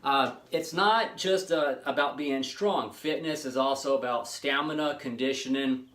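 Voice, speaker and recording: A man's voice: medium pitch, unhurried, fairly bright tone. Man in his late forties, fitness channel host, rambling casual monologue, mid-range voice in a roomy space. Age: 40s. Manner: rambling, many fillers and restarts.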